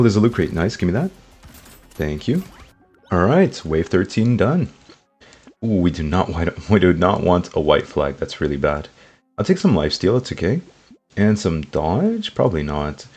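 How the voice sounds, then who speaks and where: A man with a slightly sick, rough throat, giving upbeat casual commentary over his gameplay and talking to his viewers. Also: low voice, a little mellow. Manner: upbeat, talks to viewers as guys. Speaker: gaming YouTuber.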